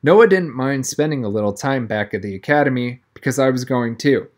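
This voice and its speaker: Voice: mid-range voice, expressive, fairly quick. A reader narrating a first-person children's novel aloud in a conversational manner, as an audiobook.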